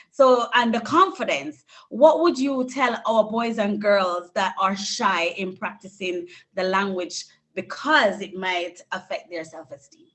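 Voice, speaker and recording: A woman's voice: medium pitch, fairly bright tone. A woman, hosting a conversational interview over a video call. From Jamaica. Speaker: interviewer at a school. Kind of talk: conversational interview with prepared questions.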